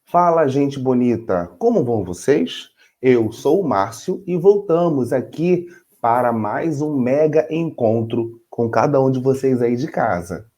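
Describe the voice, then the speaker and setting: A man's voice: mid-range voice, animated, unhurried, few pauses, warm tone. A man with a Brazilian Portuguese accent co-hosting a casual chat, playful and laughing easily with his partner. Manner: playful, laughs often, addresses "gente". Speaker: co-host. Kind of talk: casual chat with partly read script.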